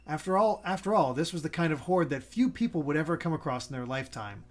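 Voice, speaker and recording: A man's voice: on the high side, animated, fast. A man reading visual novel narration aloud like a storyteller, occasionally stumbling over the text. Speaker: gaming video co-host and narrator.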